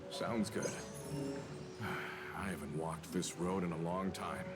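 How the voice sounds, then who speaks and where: An actor playing a weary, humble aging working man in a video game, measured and polite. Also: low voice, music in the background. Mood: nostalgic.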